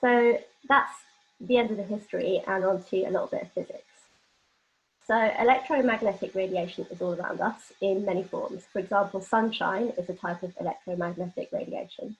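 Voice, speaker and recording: A woman's voice: medium pitch, fairly even intonation, a little fast. A woman presenting an explanatory workshop lecture, talking informally and illustrating points with everyday examples. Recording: dull, lo-fi band-limited audio.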